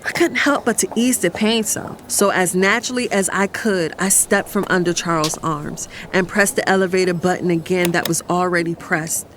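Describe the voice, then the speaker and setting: A woman's voice: lowish voice, steady tone, moderate pace, few pauses, background noise, natural voice. A woman playing a sassy, guilt-torn fiancée who narrates her own romantic drama in a confiding way. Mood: confiding, uneasy. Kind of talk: first-person dramatic narration and romantic dialogue.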